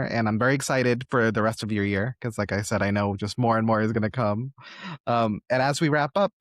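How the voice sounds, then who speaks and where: A man's voice: mid-range voice, no room sound, studio quality. A man hosting a conversational interview, speaking in an encouraging way and offering generous praise to his guest. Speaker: podcast host interviewing a guest.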